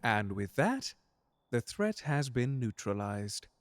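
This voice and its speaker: A man acts out a gentleman butler for an audio drama. He is formal and precise in his wording, unflappable and courteous, with menace sitting quietly underneath. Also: mid-range voice, sing-song, slow, slight background noise. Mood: smooth menace. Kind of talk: character audio roleplay drama.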